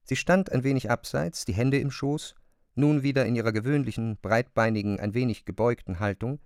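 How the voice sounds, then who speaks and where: A man delivers literary narration in a mid-range voice. His phrasing is measured and precise, and the sound is very dry and close. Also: mid-range voice, a little mellow, smooth, dry recording.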